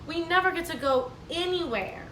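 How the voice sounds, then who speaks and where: A woman voicing a group of eager, excitable young lambs in a storybook read-aloud, with a high, excited, whiny manner. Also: normal speed, a little hiss or hum, exaggerated voice. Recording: echoey room, mic at a distance.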